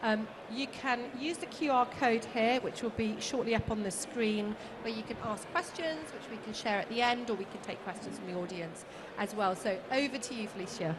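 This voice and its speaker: Woman host, fairly high voice, hesitant with fillers, introduces a speaker in a noisy conference hall. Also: moderate pace. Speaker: session host.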